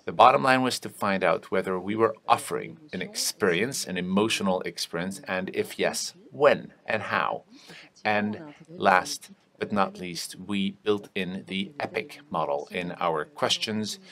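A man giving live English interpretation of a panel discussion, halting with frequent restarts and fillers. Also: low-pitched, fairly bright tone. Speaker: simultaneous interpreter voicing panel speakers.

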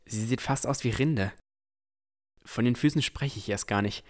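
A man playing a dreamy, curious young villager who narrates a fantasy audio drama in measured tones. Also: mid-range voice, lightly acted. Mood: puzzled, tense. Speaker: narrator and lead character.

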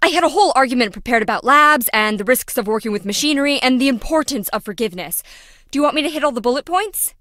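An actress playing a quirky, excitable young lab engineer in a video game, chatty, blunt and wry. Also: female voice, sits high, fast talker, no room sound, studio quality. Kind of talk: video game character voice acting.

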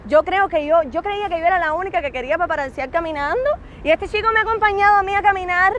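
Fast-talking woman hosting a street chat. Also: very high-pitched, a bit bright. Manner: chatty, teasing, laughs often. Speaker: host. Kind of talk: live walking chat. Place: sunny city sidewalk outdoors. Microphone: phone on a selfie stick. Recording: street traffic in background.

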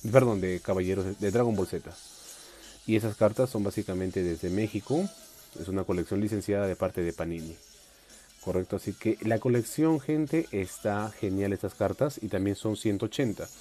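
Male streamer, low mellow voice, chatty collector host, faint room hiss. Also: steady tone, normal speed, soft background music. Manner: upbeat, chatty, reads viewer comments aloud. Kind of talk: live unboxing stream. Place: indoors at a table.

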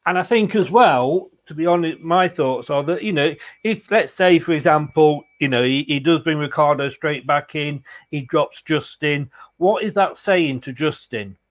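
Older man, slightly high voice. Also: normal speed, hardly any pauses. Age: 60s. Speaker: fan channel host. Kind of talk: casual football post-match chat. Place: room at home, indoors. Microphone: video call, webcam.

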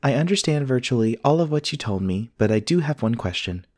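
A man playing a polite, earnest companion robot in an ASMR roleplay, speaking in formal, measured, precise sentences. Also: medium pitch, animated, a little mellow, no echo, clean studio sound, natural voice. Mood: courteous, helpful. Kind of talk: scripted ASMR roleplay acting.

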